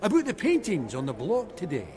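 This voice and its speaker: A man's voice: high voice, huge pitch swings, fairly quick, dark tone. A man playing a shrewd, sociable merchant in a video game, with a Scottish accent and jaunty, colloquial talk, in a reverberant hall. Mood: jovial, teasing. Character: sociable, shrewd male merchant, food-loving art dealer.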